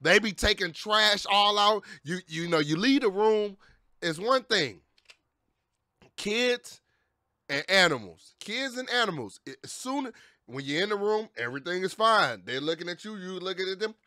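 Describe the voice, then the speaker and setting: A man's voice: high-pitched, lively intonation, normal speed, bright, forceful tone, no echo. A young man giving casual, slangy, sweary commentary with emphatic repetition, close on the mic. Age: young adult. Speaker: reaction video host. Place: small indoor streaming room. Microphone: close boom-arm microphone.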